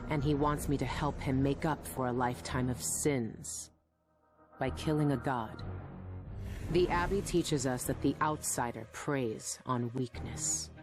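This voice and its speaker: A woman's voice: a bass voice, a little slow. A woman playing a weary, guilt-ridden former assassin in a video game, introspective and clipped over music. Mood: somber. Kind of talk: brooding video game narration and dialogue.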